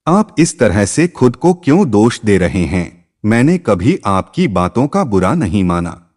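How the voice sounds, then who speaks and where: A man's voice: on the low side, sing-song, unhurried, darkish tone. A man voicing a devoted young wife in a moral story, respectful, earnest and resolute. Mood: gentle. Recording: long reverb.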